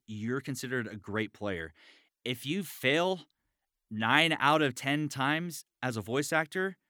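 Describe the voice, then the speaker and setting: Casting: male podcast host and voice actor, lower-pitched, forceful and bright, enthusiastic, freely swearing, informal. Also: no echo, studio quality. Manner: enthusiastic, profane, encouraging. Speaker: interview show host and voice actor. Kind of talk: casual chat about voice acting.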